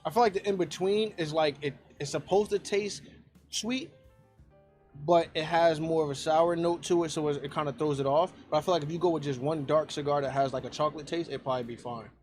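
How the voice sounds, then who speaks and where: A man's voice: on the high side, steady tone, fairly quick, a little mellow, faint music behind. A man co-hosting a podcast in casual, rambling chat, laughing often. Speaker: podcast co-host.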